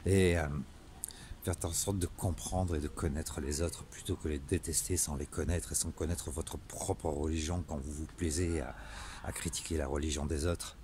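A man, speaking an earnest, improvised monologue to camera in a halting way with searching pauses. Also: a bass voice, fairly even intonation, darkish tone. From Marseille, southern France. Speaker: vlogger. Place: outdoors in a town street at night, later inside a car. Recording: noisy phone selfie audio, ambient noise.

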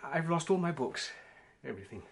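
Shabby earnest writer, excitable, fairly high voice, period drama, some noise behind. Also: male voice, brisk, gravelly. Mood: shaken, urgent. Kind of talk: British period radio drama acting.

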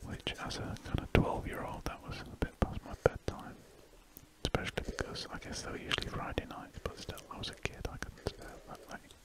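British guy doing asmr, breathy whispered rambles, kinda hesitant, pauses a lot. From United Kingdom. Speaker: ASMR artist narrating while drawing. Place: indoors at a desk, drawing. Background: pen scratching on paper.

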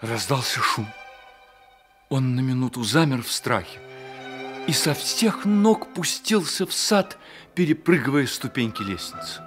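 A man narrating a literary radio drama as an expressive, measured storyteller. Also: mid-range voice, music in the background, lightly acted. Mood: hushed suspense. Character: storyteller of a boy's secret adventure.

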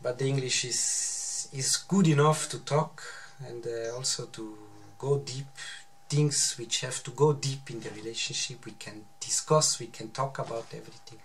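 Swiss German man speaking English haltingly. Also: medium pitch. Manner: hesitant, searching for words, repeats himself. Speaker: husband guest. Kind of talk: casual chat. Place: small bedroom, indoors.

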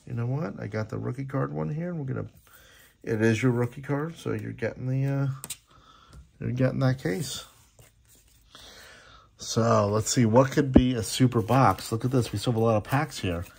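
A man with a gravelly, husky voice giving casual, chatty, appreciative running commentary as he hosts a card-opening video at a table indoors. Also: mid-range voice, moderate pace, long pauses, a little mellow. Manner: chatty, appreciative, reading names aloud. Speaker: host opening sports card packs.

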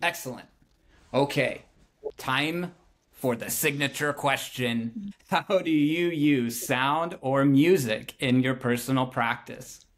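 A man's voice: medium pitch, takes it slowly. A man in his thirties hosting an interview from home over a video call, asking questions in an upbeat way. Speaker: podcast host. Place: home room with bookshelf.

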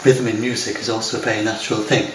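A man's voice: fairly low pitch, flat delivery, quick pace. An older man with an English accent, talking to camera at home in a hesitant, rambling way, full of fillers and restarts. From lives in Scotland. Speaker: software developer talking to camera. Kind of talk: unscripted talk to camera. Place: home room by large windows. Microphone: far camera. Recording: roomy, distant, noisy home recording.

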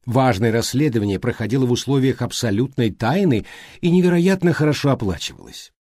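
Mellow male audiobook narrator. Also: medium pitch, very animated, brisk, dry recording. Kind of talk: scripted literary narration.

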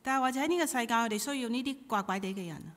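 A woman's voice: fairly high pitch, racing, fairly bright tone. A middle-aged woman interpreting a sermon in emphatic, short phrases. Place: church hall pulpit. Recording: reverberant hall through PA.

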